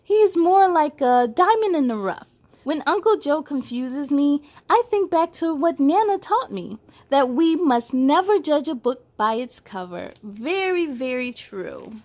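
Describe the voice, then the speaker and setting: A woman reading a picture book aloud to children in an animated, playful way, adding chatty little asides. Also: sits high, unhurried. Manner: playful, giggly, animated storytelling delivery.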